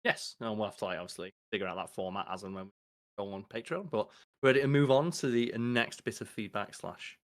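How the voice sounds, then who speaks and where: A man, co-hosting a podcast in quick, enthusiastic casual chat. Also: fairly low pitch. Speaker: podcast co-host.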